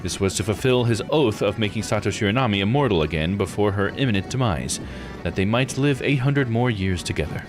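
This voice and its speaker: A man's voice: fairly low pitch, lively intonation, brisk, music in the background, close to an everyday voice. Man reading as formal, courteous game storyteller. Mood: ceremonious. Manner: formal, ceremonious. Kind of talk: scripted narration read aloud.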